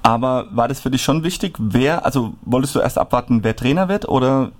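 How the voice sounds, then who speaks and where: A man in a casual club interview asks questions in a relaxed, loose way. His voice is of middling pitch. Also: a little fast.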